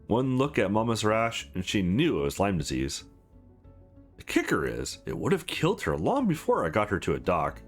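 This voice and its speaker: A man's voice: on the low side, wildly swooping pitch, normal speed, soft background music. A man voicing a streetwise young woman driver in a visual novel, frank, scrappy and matter-of-fact. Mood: fond, matter-of-fact.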